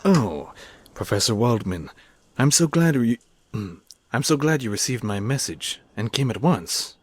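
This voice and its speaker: A man's voice: medium pitch, big pitch swings, a little slow. A man playing a preoccupied, secretive young scientist in an old-time radio drama style, with a curt manner. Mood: relieved.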